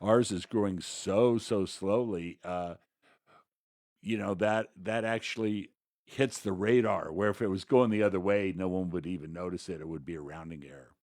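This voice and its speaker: A man's voice: sits low, fairly even intonation, normal speed, darkish tone, no room sound, clean studio sound. A man co-hosting a talk show, chatting casually about politics in a folksy, wry way. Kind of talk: casual conversational political commentary.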